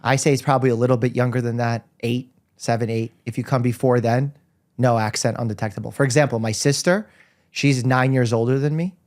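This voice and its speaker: A man's voice: medium pitch, fairly even intonation, brisk, a little mellow. Russian-born man hosting a doctor podcast. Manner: upbeat, explains medical points plainly. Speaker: podcast host and family medicine doctor. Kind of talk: casual interview chat.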